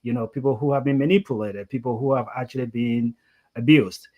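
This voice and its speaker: A man's voice: medium pitch, a little fast, a little mellow. A man hosting a conversational interview, rambling and often saying "you know". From Liberia. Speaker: talk show host.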